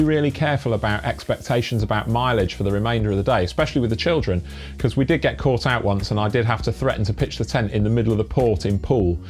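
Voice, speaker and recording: Young British man giving brisk tips. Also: medium pitch, a little mellow. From Britain. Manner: brisk, earnest explaining with dry asides. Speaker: family cycle touring vlogger. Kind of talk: talking-to-camera tips. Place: indoor room with brick wall and bikes. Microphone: lapel mic.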